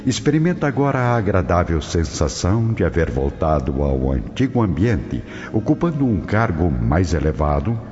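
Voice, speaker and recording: Male narrator, extremely deep, widely swooping pitch, solemn theatrical radio drama delivery, reverberant. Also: darkish tone. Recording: long reverb, dull audio, music behind.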